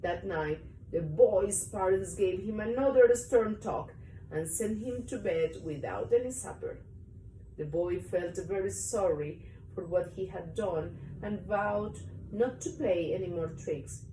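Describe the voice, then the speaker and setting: Woman, animated storytelling, unhurried pace, softer mellow tone, roomy recording, slightly distant mic. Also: lowish voice, a little hiss or hum. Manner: expressive, acts out story parts. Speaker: mother reading to her young child. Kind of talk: animated children's story reading.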